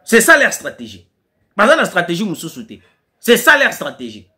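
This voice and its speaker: A man's voice: high voice, wildly swooping pitch, fairly quick, sharp tone. A man with a Congolese French accent giving impassioned political commentary, hammering home his points by repeating key phrases.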